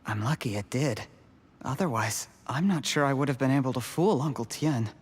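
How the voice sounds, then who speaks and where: A man's voice: medium pitch, moderate pace, breathy edge, natural voice. An actor playing a timid, ambitious young male schemer, nervous yet eager.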